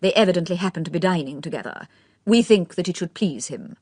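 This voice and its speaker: A woman's voice: fairly low pitch, expressive, fast talker, darkish tone, breathy edge, dry recording, clean studio sound. A woman reading the part of a courteous elderly aristocrat who speaks formally and earnestly.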